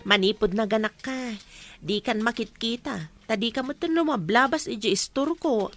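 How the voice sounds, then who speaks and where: Woman acting a high-voiced, outspoken acquaintance. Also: moderate pace, fairly bright tone, faint music behind. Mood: indignant concern. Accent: Ilocano. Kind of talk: Ilocano radio-style drama acting.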